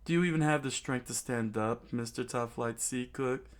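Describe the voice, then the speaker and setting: A man's voice: medium pitch, normal speed, voice pushed up, close to an everyday voice. A man voicing a flamboyant, gloating male villain fighter who taunts theatrically and calls out attack names. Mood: mocking.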